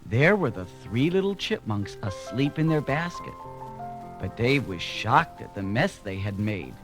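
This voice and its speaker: A man's voice: medium pitch, big pitch swings, a little slow. A man narrating a children's read-along story from a script. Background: music.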